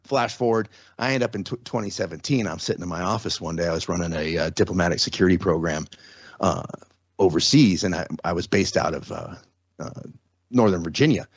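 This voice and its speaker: Low-voiced man, rambling talk. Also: fairly quick, slightly rough, no room sound. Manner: rambling, many restarts and tag questions. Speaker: guest. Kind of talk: casual interview, storytelling. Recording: dry, dull lo-fi sound.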